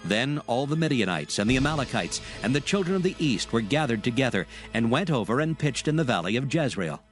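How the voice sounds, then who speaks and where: Male scripture narrator over soft music. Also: mid-range voice, sing-song. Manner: solemn, measured storytelling.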